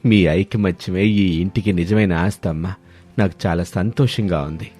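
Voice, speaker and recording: A man voicing a kindly, forgiving elderly father-in-law in a village story, gently admonishing and fatherly. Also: deep voice, moderate pace, breathy edge, voice pushed down. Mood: moved, proud. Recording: music behind the voice.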